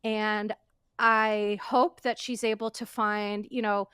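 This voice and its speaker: A woman's voice: medium pitch, flat delivery, takes it slowly, fairly bright tone, smooth, no echo, studio quality. A woman talking earnestly on a podcast, emphatic and repeating phrases to drive her point home. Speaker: podcast guest. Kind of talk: casual podcast conversation.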